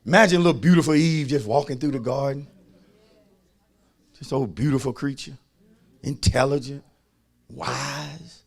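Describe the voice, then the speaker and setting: An American man preaching a fervent sermon as a pastor, raspy and gravelly, folksy and emphatic, repeating phrases and swinging from hushed asides to shouting. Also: medium pitch, unhurried, long pauses.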